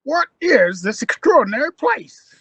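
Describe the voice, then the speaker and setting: A woman's voice: high voice, sing-song, measured pace, a bit bright, husky, no echo, full character voice. A woman playing an eager, informal young male traveler in an audio drama. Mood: puzzled.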